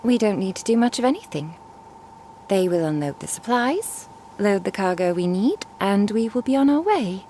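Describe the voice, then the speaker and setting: A woman playing a curious, composed traveling merchant and master in an ASMR roleplay, speaking in a measured, formal, inquisitive way. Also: medium pitch, lively intonation, mellow, slight background noise, lightly acted. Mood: composed, probing. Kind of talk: ASMR roleplay audio drama.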